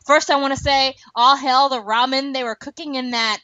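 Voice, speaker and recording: A woman's voice: high voice, normal speed, no echo, dull audio. A woman co-hosting a casual fan podcast, talking in a playful, slangy way. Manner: animated fan talk with slangy, playful wording. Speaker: podcast host. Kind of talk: casual podcast chat about a TV show.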